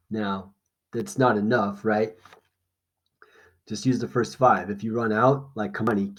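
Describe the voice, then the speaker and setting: A man teaching an informal lesson, reading aloud and explaining things in a plain instructional way. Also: lowish voice. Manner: explanatory, checks understanding with short questions.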